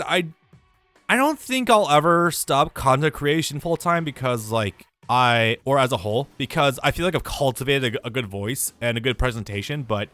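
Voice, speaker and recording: A young man with a tired, raspy voice, talking casually and rambling to his gaming channel's viewers, with lots of fillers and some swearing. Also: medium pitch, fairly bright tone, no room sound. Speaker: gaming YouTuber. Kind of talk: casual year-review vlog.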